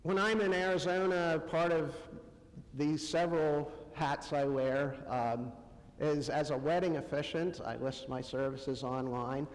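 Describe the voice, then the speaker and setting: A man preaching in a conversational style, with a halting delivery that pauses often between short phrases. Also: a little high, steady tone. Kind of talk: conversational sermon. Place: church sanctuary, amplified to a congregation. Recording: long hall reverb.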